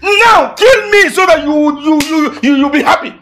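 An actor playing a struggling, hot-tempered young man who swings between pleading and shouting. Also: high voice, lively intonation, moderate pace, vocal fry, exaggerated voice. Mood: angry. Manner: pleading then shouting in bursts. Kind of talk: Nigerian film drama acting.

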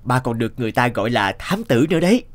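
A man voicing a gentle young postman father in a cartoon, tender and earnest. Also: medium pitch, sing-song, very fast, lightly acted. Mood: warm. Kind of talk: cartoon dubbing. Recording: music behind.

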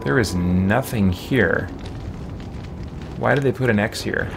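A man in his forties giving casual live gameplay commentary in a halting, musing way. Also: on the low side, creaky, music in the background. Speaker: game streamer. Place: small room lined with shelves, indoors. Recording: faint game ambience behind.

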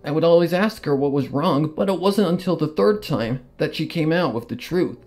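A man's voice: a little high, animated, normal speed, a little mellow. A man reading a scripted story in the first person as a dramatic narration. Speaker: fan fiction narrator. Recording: guitar music behind the voice. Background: guitar and plucked string music.

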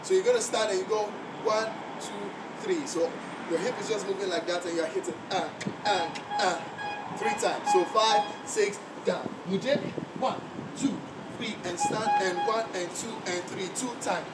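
A man's voice: high-pitched, normal speed. A male dance instructor counting beats aloud and explaining steps, chanting along with the music. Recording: roomy, far from the mic, loud background noise.

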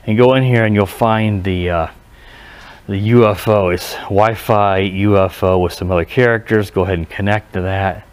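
A man giving a casual, unscripted hands-on review outdoors, speaking haltingly and often correcting himself. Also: fairly low pitch, steady tone, clear, resonant tone. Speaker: product reviewer. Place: outdoors in a residential driveway. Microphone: head-mounted action camera.

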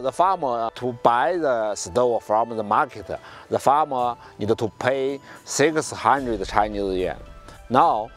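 A man on stove project staff explaining the program in halting, non-native English. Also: fairly low pitch. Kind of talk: interview.

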